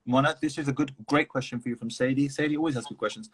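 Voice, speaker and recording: A man hosting a live stream, talkative and quick, filling pauses with murmured agreement. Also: medium pitch, fairly even intonation, breathy edge. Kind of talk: casual livestream chat.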